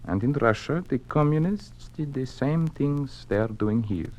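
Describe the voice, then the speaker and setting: A man's voice: medium pitch, lively intonation, darkish tone, close to an everyday voice. A man playing an earnest, cultured Russian communist museum official who is secretly troubled, speaking formally in a radio drama. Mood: confiding, grave. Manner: formal, measured, confiding speech. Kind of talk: mid-century radio drama acting. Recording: noisy old radio drama recording.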